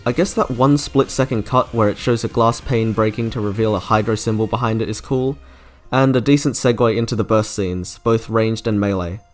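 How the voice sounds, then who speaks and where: A man's voice: lowish voice, steady tone, normal speed. A man narrating a scripted video game review as an online reviewer. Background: faint music.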